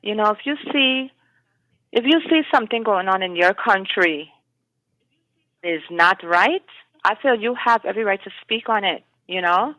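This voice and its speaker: A woman's voice: mid-range voice, expressive, normal speed, sharp tone, no echo, dull audio. A woman calling into a talk show over the phone, giving her opinion in an emphatic, conversational way and repeating her key points. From United States. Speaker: caller. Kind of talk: call-in opinion.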